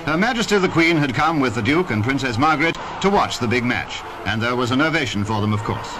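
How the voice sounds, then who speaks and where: A man's voice: medium pitch, animated, fast talker, rough recording. British 1950s newsreel man, music behind. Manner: formal, clipped announcer delivery. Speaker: newsreel commentator. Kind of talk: 1950s newsreel scripted sports narration. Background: loud music.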